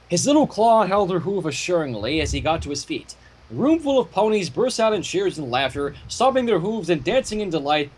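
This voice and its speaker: A man reading fan fiction aloud in a theatrical storytelling style, with casual asides and a husky voice. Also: high-pitched, poor audio.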